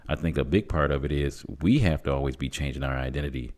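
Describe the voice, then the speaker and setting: A man in his fifties with an extremely low-pitched voice talks through his views in a podcast discussion. His manner is earnest and encouraging, and the delivery is conversational. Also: fast, darkish tone. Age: 50s. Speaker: podcast host.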